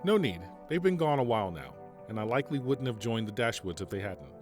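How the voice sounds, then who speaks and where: A formal, unhurried mercenary general, voiced by a man for an audio drama. His speech is measured and calm, yet his pitch moves animatedly, and soft music plays underneath. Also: close to an everyday voice. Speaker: military general.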